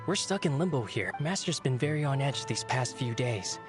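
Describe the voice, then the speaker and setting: A man's voice: medium pitch, expressive, normal speed, lightly acted. A man voicing a resigned, hesitant servant in an anime game, grumbling and worried. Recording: game music behind.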